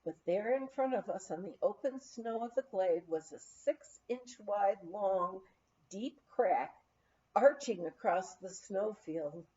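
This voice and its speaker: A woman reads a memoir aloud as an audiobook narrator, in a measured, expressive storytelling style. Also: mid-range voice, lo-fi sound. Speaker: audiobook reader.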